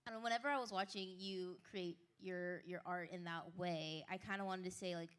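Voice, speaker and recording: A woman hosting a live concert stage, interviewing a guest and giving shout-outs in a chatty, upbeat way full of fillers and apologies. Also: lowish voice, steady tone, brisk, smooth. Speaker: host. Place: live stage at an indoor market venue. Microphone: handheld stage mic.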